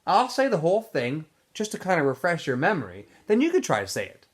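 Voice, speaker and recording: A man's voice: fairly high pitch, wildly swooping pitch, fast talker, voice pushed up. A man reading aloud and voicing an earnest boy who patiently explains things to a friend.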